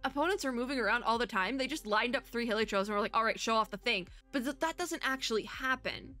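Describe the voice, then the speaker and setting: Girl doing a casual game reaction, bright voice, rambly n animated, music playing behind her. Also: fairly high pitch, fast. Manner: animated, rambling, thinking out loud. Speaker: VTuber reaction video host. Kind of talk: casual chatty game reaction commentary, part reading aloud.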